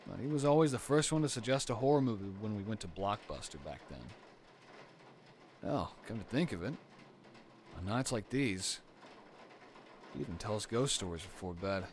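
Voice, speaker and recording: Man acting tender, teasing boyfriend, animated pitch. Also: normal speed, pauses often, slight background noise. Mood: teasing, reminiscing. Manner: soft reassuring talk with sighs and teasing. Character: caring, gently teasing young boyfriend comforting partner during storm. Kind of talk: intimate boyfriend roleplay audio drama.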